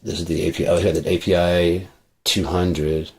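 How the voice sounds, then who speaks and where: A man's voice: low voice, flat delivery, fairly quick, slightly rough. A man talking casually on a livestream, rambling and swearing freely, into a processed studio microphone.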